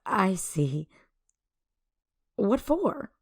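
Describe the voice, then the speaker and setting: A woman's voice: mid-range voice, very animated, drawn-out words, breathy edge, no room sound, full character voice. A woman playing a polite, curious, mysterious veiled wanderer in a fantasy audio drama, speaking carefully and formally.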